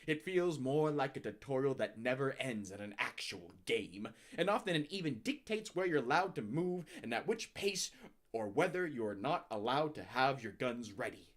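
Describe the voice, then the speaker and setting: A man giving a dramatic, grandiose reading of scripted text, with emphatic swells and drops in his delivery. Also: a little high. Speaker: narrator reading out a user review.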